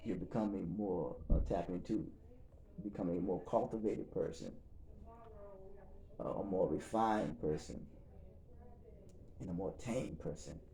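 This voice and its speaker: Low mellow-voiced guy running a recovery group, calm and measured, lots of pauses. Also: gravelly. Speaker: facilitator and reverend. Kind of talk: leading a recovery group session.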